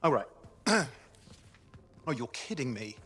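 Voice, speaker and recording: A man playing a cheeky, excitable young sidekick pilot in a video game, chatty and sarcastic. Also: medium pitch, takes it slowly, slightly rough, heavily acted. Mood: cheeky, playful. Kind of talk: video game cutscene acting.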